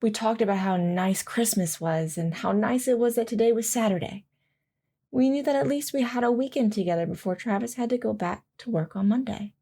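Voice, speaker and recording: A woman playing a devoted military wife in an audio drama, gently and wistfully recalling life with her husband. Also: on the high side, a little fast, slightly rough. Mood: fond, nostalgic. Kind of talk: audio drama acting, reminiscing narration.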